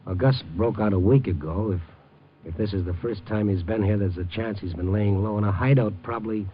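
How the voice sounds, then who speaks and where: An actor playing a tough, sardonic reporter who narrates and questions in slangy, clipped 1950s radio noir style. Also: male voice, fairly low pitch, fairly quick, slight background noise, lightly acted. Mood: probing. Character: wisecracking, hard-boiled male reporter, adult, tough and probing. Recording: muffled old 1950s radio recording, faint music.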